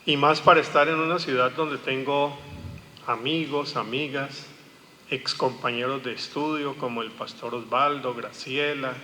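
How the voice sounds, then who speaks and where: Bright-voiced Colombian man lecturing in a hall. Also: mid-range voice, slight background noise. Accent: Colombian Spanish. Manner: engaging, asks the audience questions. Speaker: guest speaker, pastor and theologian. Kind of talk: public lecture. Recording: long hall reverb.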